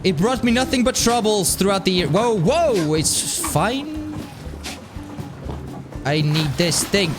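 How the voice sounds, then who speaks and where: A man streaming a game, with a light, high-pitched voice, chatting casually and rambling with lots of laughs. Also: slow. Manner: animated, rambling, laughs often. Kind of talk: casual live-stream chat while playing. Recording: music playing underneath. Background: game music.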